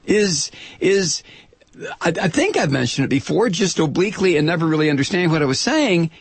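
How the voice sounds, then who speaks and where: An American man hosting a political talk show in an opinionated, sarcastic and indignant monologue, with dramatic emphasis and a gravelly, husky voice. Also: very animated, brisk, dry recording. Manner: dramatic emphasis, swinging from low asides to shouts. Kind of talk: opinionated radio monologue, reading and commenting on listener mail and news.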